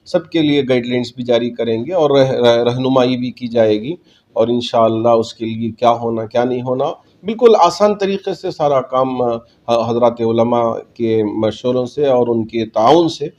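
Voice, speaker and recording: Male cleric, dark soft-edged tone, measured formal delivery, public appeal. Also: mid-range voice, muffled recording. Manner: measured, formal, Arabic-laced religious Urdu phrasing. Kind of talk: spoken public statement to community.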